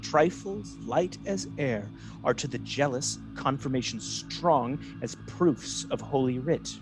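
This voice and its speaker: A man playing a scheming, falsely honest soldier in a Shakespearean radio drama, speaking in a measured, insinuating manner. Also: mid-range voice, expressive, music in the background, poor audio. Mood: sly plotting. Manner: insinuating, measured, feigned reluctance.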